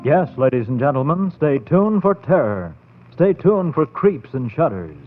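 A man's voice: a little high, phone-quality sound. A man announcing a scripted horror show in 1940s radio style. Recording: thin band-limited 1940s radio recording. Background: faint music.

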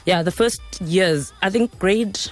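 A woman's voice: mid-range voice, measured pace, soft background music. A young woman from Tanzania, a radio station's events coordinator, telling her story openly in a casual interview and slipping between English and Xhosa. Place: radio studio.

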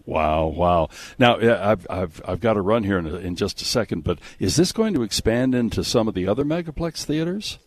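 A man's voice: lowish voice, lively intonation, normal speed, few pauses, no echo. A man hosting a live radio talk show, chatting and promoting in an effusive, easily amazed way on a studio mic. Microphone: close studio radio microphone.

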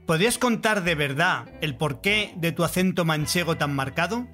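A man's voice: a little high, expressive, normal speed, pushed and bright, soft background music. A man co-hosting a chat show, teasing and repeating himself as he talks. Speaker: podcast co-host asking questions. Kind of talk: casual banter.

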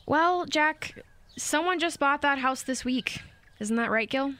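A woman playing a gentle, modest small-town mother in a radio play, patient and a little hesitant. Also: sits high, lively intonation, a little slow, no echo, exaggerated voice. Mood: gently reluctant. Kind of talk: naturalistic radio play acting.

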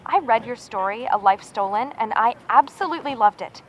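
A woman's voice: on the high side, expressive, a little fast, close to an everyday voice. A woman playing a friendly, effusive assistant, upbeat and polite on a phone call in an audio drama. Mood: excited, friendly. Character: friendly, gushing female assistant to a TV creator. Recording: dull phone-call sound, faint ambient noise.

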